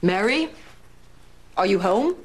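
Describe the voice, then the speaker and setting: A woman's voice: medium pitch, expressive, slow pace. An actress playing a strong-willed, principled woman who writes a 1930s radio serial, speaking firmly and dryly in a period drama. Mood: dictating, absorbed. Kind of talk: period television drama acting.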